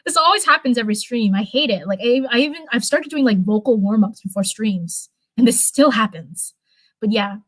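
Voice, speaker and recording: Young woman, hoarse, high-ish voice, rambling livestream chat, lots of fillers, dry close sound. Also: a bit bright, no echo. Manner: rambling, chatty, frequent "like" fillers and restarts. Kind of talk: casual livestream chat, answering viewers.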